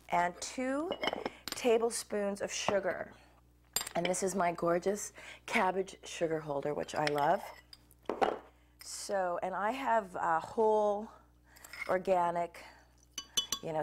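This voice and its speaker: A woman's voice: lowish voice, takes it slowly, long pauses, noisy background. A woman in her forties hosting a cooking show, talking casually to camera as she mixes pizza dough in a kitchen. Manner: chatty and relaxed, talks while mixing dough. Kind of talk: demonstrating a recipe to camera. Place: home kitchen set, indoors.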